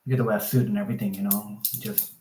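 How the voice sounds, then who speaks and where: A man's voice: on the low side, very fast, a little hiss or hum. A man, chatting casually over a roomy video call. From Thailand. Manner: relaxed, chatty, many fillers like "like" and "you know". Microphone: phone on a video call, no external mic.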